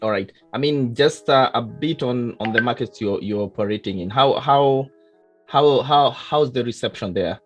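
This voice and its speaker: A man's voice: mid-range voice, moderate pace, soft background music. A man hosting an interview over a call, hesitant, with many "uh"s and restarts. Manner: hesitant, many "uh"s and repeated starts. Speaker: interview host.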